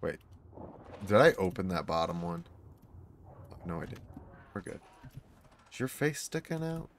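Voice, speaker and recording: A man with a slightly hoarse, failing voice, casually rambling as he plays and talks to himself and his creatures. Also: low-pitched, very animated, measured pace, a little hiss or hum. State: losing his voice, sore throat. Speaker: gaming YouTuber. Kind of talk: casual gameplay commentary.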